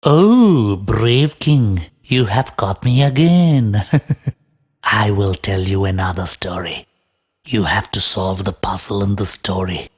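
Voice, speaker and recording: A man voicing a sly, taunting ghostly spirit who poses riddles in a children's cartoon, with a husky, theatrical, drawn-out delivery. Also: fairly low pitch, animated, exaggerated voice. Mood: sly menace. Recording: music under the voice.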